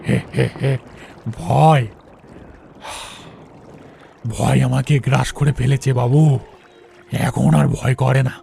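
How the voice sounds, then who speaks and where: Guy doing a creepy old ghost boatman for a horror story, super gravelly, dark tone, humble village talk. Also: medium pitch, fairly even intonation, slow, long pauses, slight background noise. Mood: eerie amusement. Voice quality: creaky, gravelly. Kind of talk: audio horror story acting.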